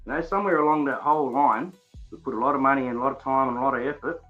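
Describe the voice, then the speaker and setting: A man's voice: medium pitch, racing, narrow, tinny audio, faint music behind. A man with a broad Australian accent talking casually in a live online debate, laughing often. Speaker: debate guest. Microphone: desktop microphone.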